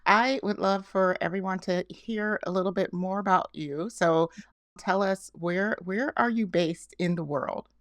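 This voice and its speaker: A woman's voice: medium pitch, unhurried, no room sound. A woman hosting a podcast, enthusiastic and encouraging as she interviews a guest, stammering a little now and then. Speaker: podcast host. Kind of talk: conversational interview.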